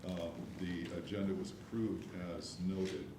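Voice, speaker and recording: A man chairing a board meeting, measured and hesitant, with many fillers, recorded from afar in an echoey room with noise. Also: sits low, fairly even intonation. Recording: echoey room, mic from far off, background noise.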